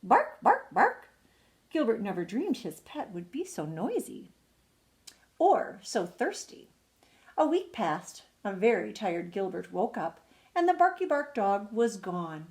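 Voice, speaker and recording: A woman's voice: mid-range voice, very animated, normal speed, a little mellow. An older woman, a retired teacher, reading a children's bedtime story expressively, drawing out words for emphasis. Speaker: retired second-grade teacher.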